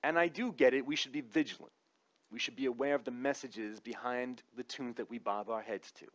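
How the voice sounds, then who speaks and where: A man's voice: medium pitch, fairly quick, dull audio. A man giving a conversational comic opinion monologue in an informal, wry, sometimes profane way. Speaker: comedic commentator.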